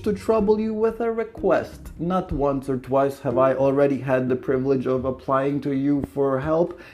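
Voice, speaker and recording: A man reading a play aloud and voicing a nervous, excitable young landowner who stumbles over his words as he asks for a marriage. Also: mid-range voice, lightly acted.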